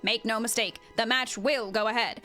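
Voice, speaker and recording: A woman plays an indignant wrestling announcer from a video game. The voice is high and sharp, with dramatic, emphatic delivery and articulate wording, over faint music. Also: fairly even intonation, moderate pace. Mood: grave. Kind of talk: amateur voice acting of game dialogue.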